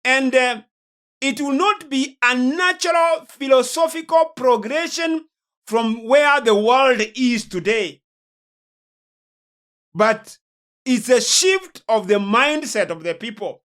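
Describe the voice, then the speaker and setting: A male preacher with a Kenyan accent speaks in a sermon-like lecture. His delivery is slow and deliberate. He keeps a solemn manner and puts emphatic stress on certain words. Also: very high-pitched, expressive, fairly bright tone.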